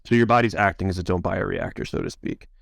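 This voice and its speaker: A man answering questions as a science officer on a conference call, explaining in a measured, conversational way. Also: low-pitched, vocal fry, no echo, studio quality.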